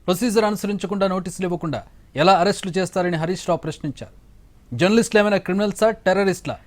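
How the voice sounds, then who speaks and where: A man's voice: high-pitched, very fast. A man reading scripted news as an anchor in a formal bulletin style.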